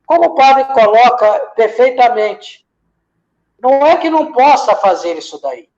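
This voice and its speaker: A man's voice: extremely high pitch, animated, a little slow, darkish tone. A man from from that region, a lay missionary reflecting in a church discussion, building his points from parallel examples and often hesitating. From Minas Gerais, Brazil. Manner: speaks in repeated parallel examples, many hesitations. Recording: dull lo-fi sound.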